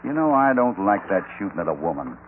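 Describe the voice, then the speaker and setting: Tinny vintage radio, steady American cowboy hero, low voice, easygoing, lively intonation. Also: male voice, quick pace. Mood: assured. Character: calm, steady, good-humored cowboy hero. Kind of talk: 1940s-50s radio western acting. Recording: tinny, hissy old radio recording.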